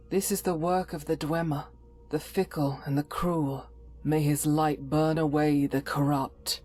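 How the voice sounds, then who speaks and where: A woman's voice: on the low side, a little mellow, natural voice. A woman playing a devout, stern warrior companion in a fantasy game, speaking solemnly in formal, archaic phrases. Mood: stern, warning.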